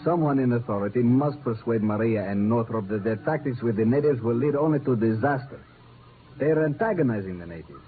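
A man's voice: mid-range voice, fairly quick, natural voice. An actor playing an anxious, grave man, formal and emphatic, in 1950s radio drama. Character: grave, urgent Latin plantation man, anxious warner. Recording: narrow, noisy old radio recording.

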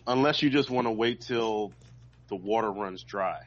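A man's voice: on the low side, moderate pace. A man chatting casually and chiming in on a conversation. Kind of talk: casual chat. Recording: dull lo-fi sound, clear background noise.

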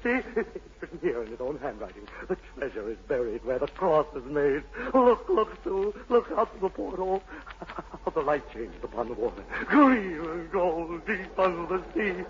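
Casting: male actor as crazed treasure-hunting seaman, high-pitched, feverish, stammering; old radio play, muffled and noisy recording. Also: lively intonation, moderate pace, natural voice. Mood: manic, exultant. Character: deranged seafaring brother, frantic, obsessed with lost treasure. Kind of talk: 1940s radio drama acting.

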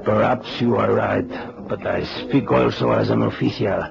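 An actor playing a weary, courteous border officer with a Mexican accent and formal, measured phrasing in an old radio drama. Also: male voice, lowish voice, steady tone, vocal fry, highs cut off, a crowd in the background. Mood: grave, wary. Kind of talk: 1970s radio drama acting.

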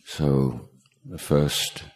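A man teaching guided meditation, speaking in a halting, measured way with pauses between short phrases. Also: a bass voice, fairly even intonation. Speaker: meditation teacher, Buddhist monk. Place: meditation retreat talk in Thailand.

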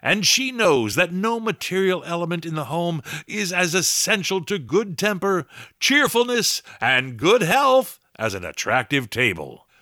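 A man's voice: high voice, sing-song, measured pace, pushed and bright, dry recording, studio quality, heavily acted. An actor playing a grandiose man announcing and narrating in a dramatic 1930s radio style. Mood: lofty salesmanship. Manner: dramatic, emphatic. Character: grandiose male old-time radio announcer.

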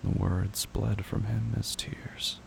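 Man acting a gravelly, brooding horror narrator. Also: lowish voice, flat delivery, a little hiss or hum, full character voice. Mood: solemn, tormented. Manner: slow, solemn, intense delivery, at times near a whisper. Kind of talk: literary horror audio drama narration. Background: music with guitar.

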